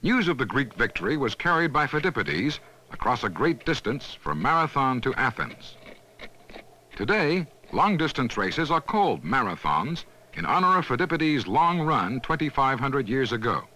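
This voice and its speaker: A man reads scripted documentary narration in a measured, instructional manner, as on a 1970s educational film soundtrack. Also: mid-range voice, big pitch swings, sharp tone, a little hiss or hum, rough recording.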